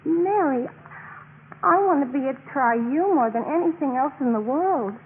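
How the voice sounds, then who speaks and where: Casting: timid young sorority pledge, high earnest voice, 1950s radio drama, thin crackly recording. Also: female voice, animated, unhurried. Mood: meek, earnest. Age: young adult. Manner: apologetic, earnest, breathless gushing. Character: anxious, meek, eager young college pledge girl. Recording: noisy, tinny 1950s radio broadcast recording.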